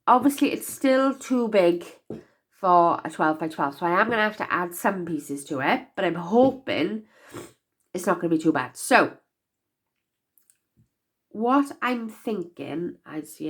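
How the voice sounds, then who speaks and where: A woman's voice: fairly low pitch, lively intonation, normal speed. A young woman with a stuffy nasal voice from a cold, chatting casually and rambling with lots of fillers as she works through a craft project. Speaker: crafter demonstrating box making. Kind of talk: casual craft-along chat.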